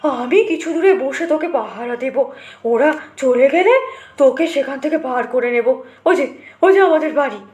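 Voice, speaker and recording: A woman's voice: high-pitched, normal speed, a little mellow, natural voice. A woman voicing a frightened, protective old village father in an audio storybook, urgent and repeating words. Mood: urgent reassurance. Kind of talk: audio storybook character acting. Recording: roomy, echoing voice recording.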